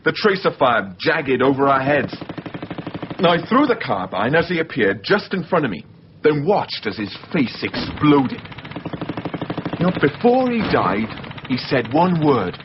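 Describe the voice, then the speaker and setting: An actor playing an obsessive, unstable man in a radio drama, speaking haltingly and intensely. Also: medium pitch, sing-song. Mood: haunted, intense. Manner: halting, repeating words, intense. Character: obsessive, unstable man who confuses films with his own memories. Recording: dull recording with faint background noise.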